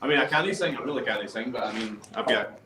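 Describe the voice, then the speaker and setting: A man's voice: mid-range voice, rapid-fire, echoey room, noisy background. A man with a Scottish accent, joking about himself and saying he can't sing. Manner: self-deprecating joking.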